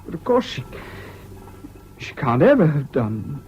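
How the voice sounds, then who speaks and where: An actor in a 1970s British radio drama playing a troubled, self-pitying jilted husband, halting and plaintive, on an old recording. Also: male voice, a little high, very animated, a little mellow. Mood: hurt, bewildered. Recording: dull, faintly noisy old radio recording.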